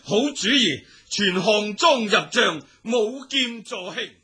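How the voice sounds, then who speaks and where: A man's voice: sits high, expressive, takes it slowly, bright, forceful tone, slightly rough, full character voice. A man playing a proud, commanding warlord general in a Chinese historical radio drama, with declamatory delivery. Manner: declamatory, imperious.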